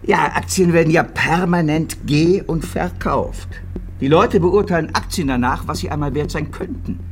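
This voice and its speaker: A man playing a self-assured, salesmanlike stockbroker who explains things smoothly in a radio drama. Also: a little high, normal speed, a little hiss or hum, natural voice. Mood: confident. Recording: faint music bed behind the voice.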